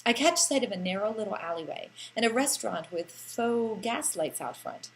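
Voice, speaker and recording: Expressive woman narrator, noisy recording. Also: medium pitch, moderate pace. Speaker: audiobook narrator. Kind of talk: expressive first-person storytelling narration.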